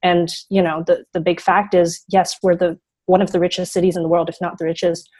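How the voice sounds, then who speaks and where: A teenage girl speaking earnestly in an interview. Her voice sits a little low for her age and she scatters "you know" through her answers. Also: level tone, brisk, darkish tone. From Hong Kong. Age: teens. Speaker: youth fund founder, guest.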